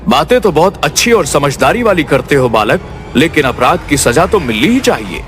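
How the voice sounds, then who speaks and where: A man's voice: on the high side, big pitch swings, normal speed, a bit bright. A man voicing a fair-minded king in a cartoon story, formal and authoritative. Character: stately, fair-minded king judging his subjects. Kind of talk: cartoon moral story acting. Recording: music behind.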